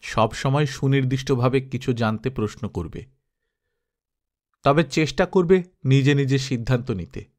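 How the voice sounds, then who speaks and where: A man voicing a wise old king who teaches calmly in an audiobook. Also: medium pitch, normal speed, pauses often, natural voice. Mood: instructive. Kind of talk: audiobook dialogue.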